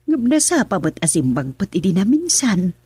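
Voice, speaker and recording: A caring middle-aged mother , played by a woman, with a husky, breathy voice and an Ilocano accent. Her pitch rises and falls freely, and she sounds soothing and motherly in a dry, close recording. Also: big pitch swings, normal speed, dark tone, no room sound. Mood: concerned. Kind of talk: radio drama acting.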